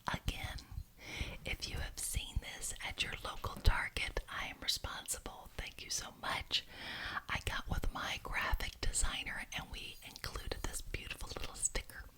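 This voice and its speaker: Whispering woman in a witch ASMR roleplay. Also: pushed and bright. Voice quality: breathy unvoiced whisper. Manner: deliberate, repeats words for emphasis. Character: genteel, officious witch chairing a neighborhood meeting.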